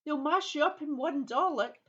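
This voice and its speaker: A woman's voice: sits high, moderate pace, a bit bright, dull audio. A woman voicing a chirpy squawking sea bird that repeats its phrases in a children's audio play. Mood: gleeful warning.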